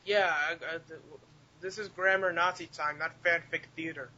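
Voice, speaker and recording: A man's voice: fairly high pitch, steady tone, normal speed, pushed and bright. A man co-hosting a podcast in casual, joking banter with a mocking, sarcastic manner. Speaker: podcast co-host. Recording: muffled lo-fi voice chat audio.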